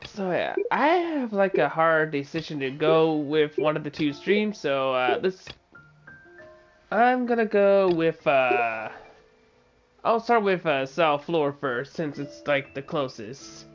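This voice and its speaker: A man streaming a game live, chatting casually with viewers in a halting way with many filler words. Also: on the high side, sing-song, faint music behind. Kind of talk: casual live gameplay commentary.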